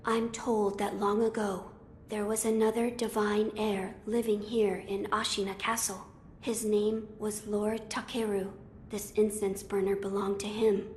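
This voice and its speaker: A woman plays a young noble boy in a game cutscene. He speaks formally and earnestly, with little rise or fall in pitch. A light rumble runs behind the voice. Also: flat delivery, unhurried, a little mellow, natural voice. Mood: quiet, reflective. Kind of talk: video game cutscene acting.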